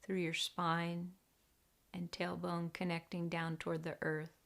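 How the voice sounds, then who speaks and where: A woman leading a guided meditation in a deliberate, gentle, hushed manner with long pauses. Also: on the low side, completely flat delivery, gravelly. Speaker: meditation teacher.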